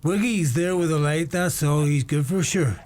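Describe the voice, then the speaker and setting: An actor playing an anxious country neighbour in a radio play. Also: male voice, on the high side, moderate pace, a little mellow, natural voice. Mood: urgent. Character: anxious rural Donegal neighbour calling for help at night.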